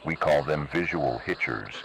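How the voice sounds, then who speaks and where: A man voicing a cold, menacing emergency broadcast announcer in slow, measured, ominous narration. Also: extremely low pitch, gravelly, fairly bright tone, full character voice. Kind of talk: scripted analog horror narration. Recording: noisy analog video recording.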